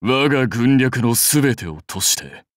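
A man's voice: mid-range voice, lively intonation, a little slow, no room sound, clean studio sound, natural voice. Man acting a proud, sweeping-voiced samurai warlord. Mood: battle-ready, commanding. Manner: grand, archaic, declamatory warrior speech. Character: proud, battle-hungry samurai warlord, commanding and loyal. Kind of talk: anime game voice lines.

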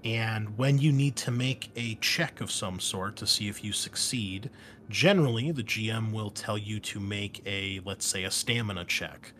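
A man with a fairly low voice gives a casual, informal explanation of game rules. He speaks directly to the listener like a host walking a newcomer through things. There is some faint noise behind his voice. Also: a bit bright. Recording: noticeable background noise.

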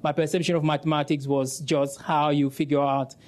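Young French-native man from Cameroon, earnest panelist on a lapel mic, a touch high-pitched. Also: flat delivery, a little fast, a little mellow. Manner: earnest storytelling, laughs easily. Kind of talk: panel discussion answer. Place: stage of a conference room.

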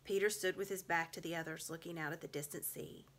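Bright-voiced woman reading a story aloud. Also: deep voice, steady tone, quick pace. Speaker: storybook reader. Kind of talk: expressive read-aloud narration. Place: small room at home.

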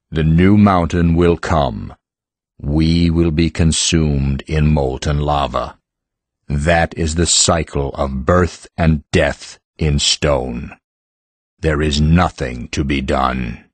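Ancient, very deep stone creature. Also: male voice, fairly even intonation, no room sound, full character voice. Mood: resigned. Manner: formal, ponderous, unhurried. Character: ancient solemn living mountain heart, slow stone creature. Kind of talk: audiobook drama.

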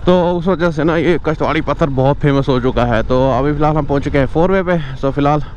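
A man's voice: a little high, fast, darkish tone, lo-fi sound. A young man chats casually and colloquially, repeating himself, as he vlogs outdoors from a motorbike. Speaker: motovlogger. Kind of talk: casual spoken vlog while riding a motorbike. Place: outdoors on a moving motorbike on the road. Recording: noisy outdoor ride with vehicle noise.

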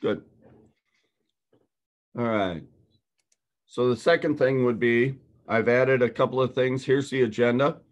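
A man's voice: medium pitch, measured pace, pauses often, lo-fi sound. A man chairing a board meeting over a video call, walking through the agenda item by item in a businesslike way.

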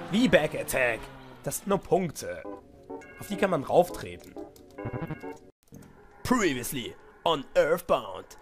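A man with a cold doing goofy, excitable let's-play commentary and reading game dialogue aloud. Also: on the high side. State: has a cold. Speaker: let's player. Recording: music playing behind voice.

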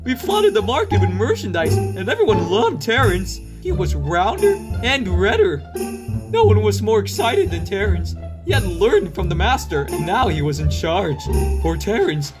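Someone doing a chatty fed-up christmas elf telling a story, super swoopy voice, wry, music playing loud behind. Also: mid-range voice, natural voice. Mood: exasperated. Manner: animated, wry, digressing into asides. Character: chatty, exasperated Christmas elf recounting a tale. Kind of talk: comic dub storytelling. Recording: music laid under voice.

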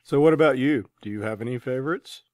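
A man's voice: mid-range voice, quick pace, a little mellow, no room sound. A man hosting a conversational interview, asking an author questions. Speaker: podcast host interviewing an author.

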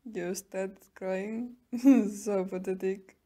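A woman playing a smug, taunting tsundere captor in an ASMR roleplay, mocking and teasing with drawn-out pauses. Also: mid-range voice, vocal fry, warm tone. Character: smug, taunting tsundere young woman keeping a captive werewolf.

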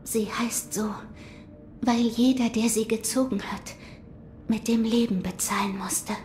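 An actress playing a gentle, mystical shrine maiden in an audio drama, speaking in a solemn, measured, hushed manner. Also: female voice, fairly high pitch, steady tone, soft background music, natural voice.

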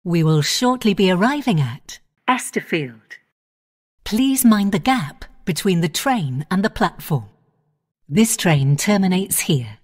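Slow, lilting female train announcer. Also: lowish voice, animated. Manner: measured, clipped station-by-station phrasing.

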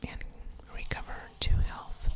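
A woman hosting a gaming ASMR session, whispering softly with mouth sounds as she comments on a card game. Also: a little slow.

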